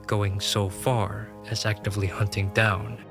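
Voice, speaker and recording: A man's voice: fairly low pitch, flat delivery, a little slow, gravelly, over music. A man narrating a fantasy audio drama in a scripted, storytelling style.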